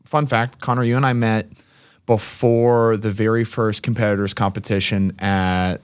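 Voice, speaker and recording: A man's voice: on the low side, flat delivery, normal speed, clear, resonant tone, no room sound, clean studio sound. A man, a podcast guest telling stories in a chatty, joking way. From Massachusetts. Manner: chatty storyteller, joking asides. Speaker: podcast guest, CrossFit gym owner. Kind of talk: casual chat.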